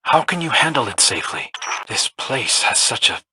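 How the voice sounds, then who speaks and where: A man voicing an earnest, wry young hero with a husky voice in a video game. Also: mid-range voice, sing-song, moderate pace, background noise, lightly acted.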